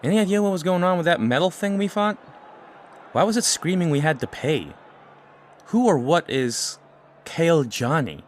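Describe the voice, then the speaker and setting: A man playing an incredulous, questioning teenage high school boy in an audio drama. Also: lively intonation, normal speed, pauses often, slight background noise, lightly acted. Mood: puzzled.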